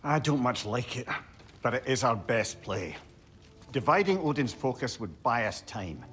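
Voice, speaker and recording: A man playing a shrewd, measured advisor in a dramatic fantasy video game. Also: medium pitch, animated, a bit bright, soft background music, close to an everyday voice. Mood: sober counsel.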